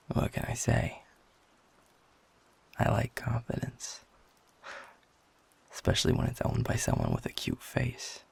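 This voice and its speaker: Guy acting as a feisty flirty werewolf dude, super gravelly n raspy, cocky, blunt, audio rp talking right to you. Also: huge pitch swings, takes it slowly, long pauses, heavily acted. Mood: flirty, amused. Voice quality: gravelly, husky low voice. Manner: swearing, teasing, informal and blunt. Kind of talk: intimate audio roleplay, addressed to the listener.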